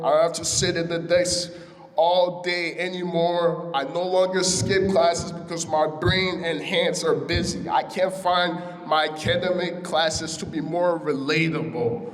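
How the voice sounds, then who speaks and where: A young man from Ghana, a student speaker, reading an earnest prepared speech at a ceremony with small stumbles. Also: fairly high pitch. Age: young adult. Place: large hall at a school ceremony. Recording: echoey hall with long reverb.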